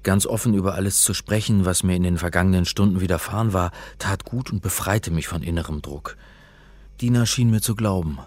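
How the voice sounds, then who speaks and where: An actor playing a harried, dry scholar in a radio drama, speaking in dialogue and first-person narration. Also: male voice, deep voice, brisk, darkish tone, no room sound. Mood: wry narration. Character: harried, dull scholar son on the run.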